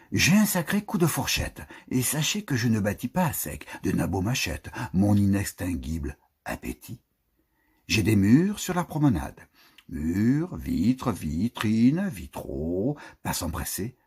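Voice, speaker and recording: A man reading poetry aloud in a theatrical, expressive way, declaiming with dramatic swells and hushed, breathy phrase endings. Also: low voice. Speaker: poetry reader.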